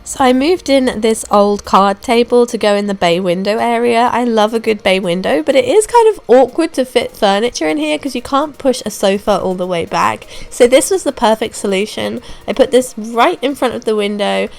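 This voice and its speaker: Young woman, hoarse and gravelly, slightly high, chatty DIY voiceover. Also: normal speed, hardly any pauses. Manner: chatty, relaxed, self-deprecating. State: hoarse from a throat infection. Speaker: home renovation vlogger. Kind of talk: casual voiceover narration. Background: soft background music.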